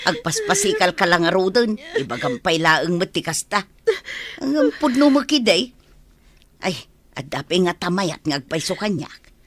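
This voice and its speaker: A woman's voice: lowish voice, big pitch swings, normal speed. A woman playing a blunt, scolding servant in a radio drama, grumbling like an older sister. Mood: exasperated.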